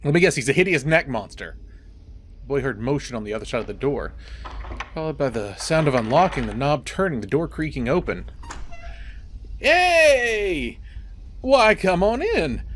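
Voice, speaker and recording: A man's voice: medium pitch, fairly quick, a little hiss or hum. A man hosting a horror game, mixing playful, wisecracking commentary with story reading. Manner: animated, wisecracking.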